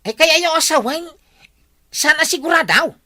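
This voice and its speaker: A chatty, excitable village woman from a radio comedy,. Her voice is very high and bright, and it swoops all over as she exclaims. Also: normal speed. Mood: excited. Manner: exclaiming, sing-song. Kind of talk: radio comedy drama acting.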